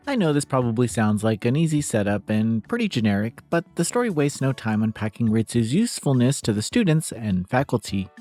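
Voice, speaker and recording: Man, game reviewer, scripted narration, casual and wry, soft music under. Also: medium pitch.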